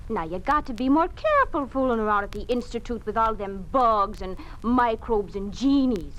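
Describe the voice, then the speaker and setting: A woman's voice: a little high, sing-song, moderate pace, a bit bright. A woman playing a chatty, cheeky Irish housekeeper with a folksy lilt, in 1950s radio drama style. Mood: teasing. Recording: noisy old 1950s radio recording.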